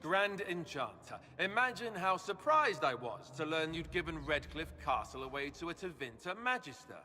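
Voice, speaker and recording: An actor playing an indignant, reproachful king in a fantasy video game, speaking with measured authority. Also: a little high, big pitch swings, bright, forceful tone, full character voice. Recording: echoing hall with music behind.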